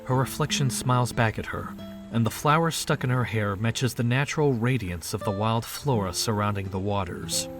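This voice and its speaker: A man's voice: medium pitch, moderate pace. A man narrating a scripted audio drama in storybook style. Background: background music.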